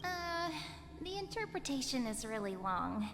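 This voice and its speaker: A woman's voice: sits high, big pitch swings, measured pace, a bit bright, a little hiss or hum, full character voice. A woman voicing a playful, teasing young village girl who reads fortunes in a video game. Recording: reverberant game audio under music.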